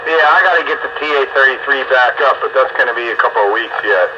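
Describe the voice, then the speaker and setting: A man's voice: medium pitch, quick pace. American man chatting over noisy, muffled radio. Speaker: amateur radio operator on a roundup net. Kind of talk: casual chat over two-way radio. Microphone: two-way radio microphone. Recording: muffled radio transmission with clear static noise.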